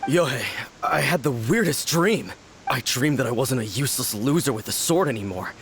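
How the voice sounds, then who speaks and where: A man's voice: medium pitch, expressive, normal speed, fairly bright tone, slight background noise. A man voicing a weakened young man shaken after being possessed, in a video game. He sounds flustered and self-pitying. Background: game music.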